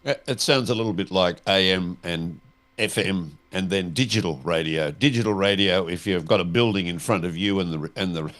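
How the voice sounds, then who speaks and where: A man's voice: deep voice, normal speed, a bit bright. A man co-hosting a conversational discussion, asking questions in a plain-spoken layman's way.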